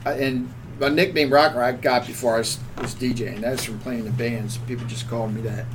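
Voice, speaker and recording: A man's voice: medium pitch, steady tone, a little fast, very noisy. A man with a Baltimore accent hosting a podcast in casual, joking, self-deprecating chat. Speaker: podcast host.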